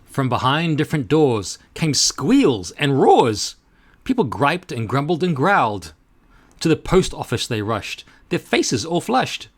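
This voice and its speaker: A man reading a rhyming children's story aloud in an expressive, rhythmic, dramatic way. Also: on the high side, unhurried.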